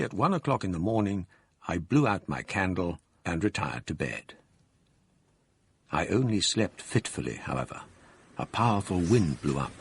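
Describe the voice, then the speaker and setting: A man narrating his own past in a measured, storytelling way, as in a radio drama. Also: sits low, slight background noise. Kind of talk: scripted first-person narration in a radio drama.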